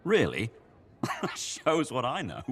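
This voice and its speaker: A man's voice: medium pitch, huge pitch swings, slow, breathy edge, full character voice. An actor playing a playful, joking male traveler in a video game cutscene. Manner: joking, teasing, theatrical.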